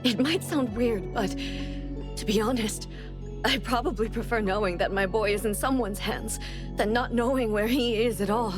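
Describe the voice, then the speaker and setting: Distressed mother played by a woman, fairly high. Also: normal speed, close to an everyday voice. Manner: earnest, apologetic. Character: distressed, pleading mother of a missing boy. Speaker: voice actor in a game. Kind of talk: dramatic video game voice acting. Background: background music.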